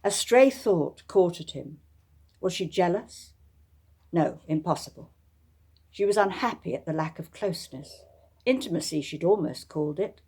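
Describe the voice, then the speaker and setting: A woman author presenting and reading her own novel in a measured, deliberate way with frequent pauses. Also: on the low side, expressive, warm tone, breathy edge. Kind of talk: audiobook reading and informal introduction.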